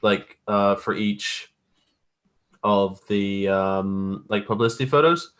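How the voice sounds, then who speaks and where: A man's voice: fairly low pitch, takes it slowly, a bit bright, clean tone. A man chatting casually as an interview guest, informal, wry and self-deprecating about his own work as a graphic artist.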